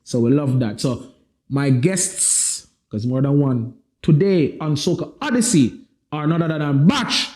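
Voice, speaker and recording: A man hosting a casual music podcast, his voice warm and mellow and a touch higher than most. He talks with lively hype and easygoing slang, building up his guests as he brings them on. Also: measured pace. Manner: lively, hyped introductions with slang. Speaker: podcast host.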